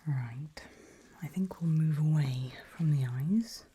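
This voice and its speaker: A woman whispering in a breathy voice, playing a polite, calmly creepy medical examiner in an ASMR roleplay. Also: sits low, slow pace, a little hiss or hum. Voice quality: breathy, mostly unvoiced whisper.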